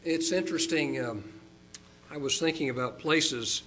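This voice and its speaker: An older man from Oklahoma preaching a sermon as a pastor, folksy and chatty, slowing down for emphasis. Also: fairly bright tone, slight background noise. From Oklahoma, United States. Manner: folksy and chatty, with chuckles and fillers; slows and rises in pitch for emphasis.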